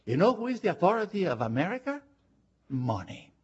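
An older man from Argentina with an Argentine Spanish accent, preaching a sermon with emphatic, drawn-out words. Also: sits high, husky. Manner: animated and emphatic, drawing out key words. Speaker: pastor. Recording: dull lo-fi recording.

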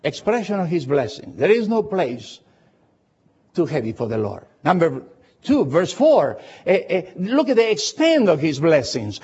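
Older male pastor, foreign accent, husky and highly expressive, preaching with emphatic repetition; slightly dull, lo-fi recording. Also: a little slow. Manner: emphatic repetition of short phrases. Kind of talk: expository sermon with personal anecdotes.

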